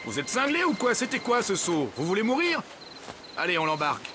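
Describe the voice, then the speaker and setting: An actor playing a brusque soldier guard who talks with scorn and sarcasm, heard from a distance over outdoor noise. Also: male voice, high voice, wildly swooping pitch, brisk. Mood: scornful.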